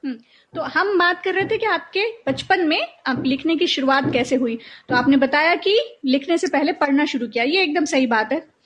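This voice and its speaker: A woman with a high-pitched voice hosts a casual live talk programme. She speaks conversationally, and the sound is slightly dull and lo-fi. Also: normal speed. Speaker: host of a writers' interview programme.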